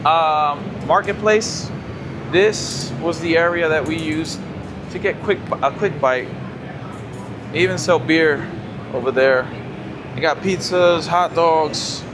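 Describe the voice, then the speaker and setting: A man's voice: fairly high pitch, slow, pauses often. A man touring an expo floor, chatty and enthusiastic, losing his voice. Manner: chatty, enthusiastic, many fillers. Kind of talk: casual walking tour commentary. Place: indoor expo hall floor. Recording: loud background noise.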